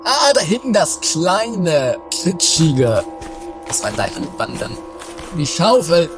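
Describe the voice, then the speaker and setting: A bossy, melodramatic schemer acted out by a performer in an amateur comedy radio play. The voice swings wildly up and down, repeating words in excitement, over prominent music in a 1980s recording. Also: mid-range voice, slow. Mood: excited, bossy. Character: dramatic, bossy, quarrelsome schemer in a trashy comedy.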